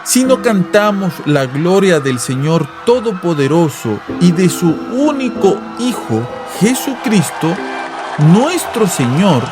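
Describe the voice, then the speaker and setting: A man's voice: sits high, voice pushed up, heavily acted. A man playing a fervent, imperious revival preacher in a dramatized story, speaking with urgent, vibrant, menacing oratory. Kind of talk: dramatized audiobook fire-and-brimstone sermon.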